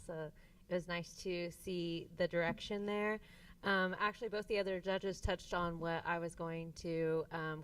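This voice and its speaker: A woman judging a youth contest, polite and measured as she asks about planning. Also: fairly low pitch, clean tone, distant mic. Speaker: contest judge.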